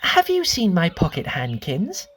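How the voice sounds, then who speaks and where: A woman's voice: huge pitch swings, unhurried, slight background noise. A woman voicing a curious, outspoken little girl in a storybook, with childlike, questioning delivery. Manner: high, childlike questioning, plain-spoken.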